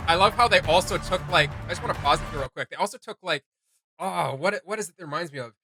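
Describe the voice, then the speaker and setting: A man's voice: sits high, quick pace, bright, forceful tone. A man giving casual, slangy reaction commentary with enthusiasm, as host of a reaction video. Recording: music playing behind. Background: music.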